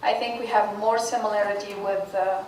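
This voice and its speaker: A woman's voice: medium pitch, little pitch movement, normal speed, a little mellow. A woman from Tunisia, speaking with many fillers and restarts as a guest speaker at an informal class presentation. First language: Arabic and French. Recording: echoey room from a distance, faint hiss.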